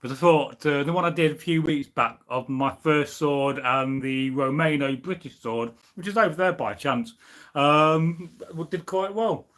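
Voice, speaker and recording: A young man from the UK hosting a loose, unplanned live stream in front of a camera on a tripod at home. His voice sits a little high, and he talks in a rambling, chatty way, full of ums, uhs and restarts. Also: a little slow, fairly bright tone. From United Kingdom. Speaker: history channel host. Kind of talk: casual live stream chat.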